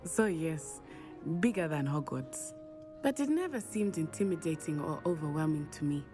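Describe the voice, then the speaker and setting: Game role: gracious schoolgirl witch, thoughtful and formal, slightly low female register, music behind. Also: expressive, warm tone. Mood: warm nostalgia. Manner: measured, formal, thoughtful phrasing. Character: teenage witch student, poised, gracious, fond of her old school. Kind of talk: video game dialogue acting.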